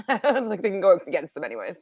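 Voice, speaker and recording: A woman's voice: medium pitch, sing-song, fairly quick, a little mellow, no echo, studio quality. A woman chatting casually and giving her informal opinions as a panelist on a recap show. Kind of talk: casual chat.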